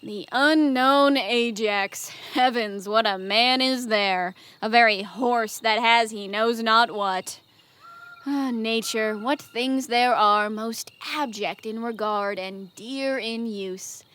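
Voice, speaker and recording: A young woman plays a shrewd Greek commander in a Shakespearean audio drama. The voice is fairly high, and the verse is delivered in a deliberate, persuasive, rhetorical way. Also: a bit bright. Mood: feigned wonder, goading. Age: young adult. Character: Ulysses, shrewd, wily Greek commander, a man.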